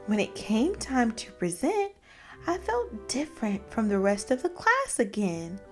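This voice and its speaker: Anxious, earnest little zebra boy for a storybook, played by a woman. Higher-pitched, very animated delivery, music underneath. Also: measured pace. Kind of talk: storybook character voice. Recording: music behind the voice.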